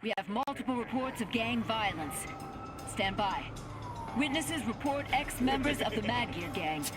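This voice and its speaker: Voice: medium pitch, expressive, moderate pace, music in the background, lightly acted. An actor playing a businesslike police dispatcher in a video game, reporting in a clipped, official way. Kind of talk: video game cutscene acting.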